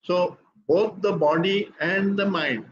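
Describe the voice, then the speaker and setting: Calm older Indian man explaining slowly. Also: high-pitched, muffled recording, a little hiss or hum. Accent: Indian English. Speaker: guest speaker on spiritual teachings. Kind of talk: measured explanatory talk.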